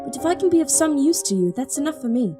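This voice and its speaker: A woman as an earnest, high-voiced young princess. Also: sing-song, quick pace, over music. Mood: earnest, pleading. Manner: earnest, articulate. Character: earnest, devoted young princess, seventeen, daughter of king. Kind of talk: audio drama acting with first-person narration.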